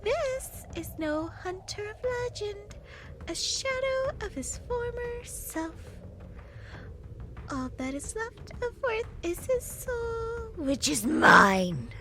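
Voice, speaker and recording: A woman's voice: extremely high pitch, big pitch swings, slow pace, background noise, full character voice. A woman playing a haughty, scornful fairy in a fantasy audio drama, grandiose and disdainful. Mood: cold contempt.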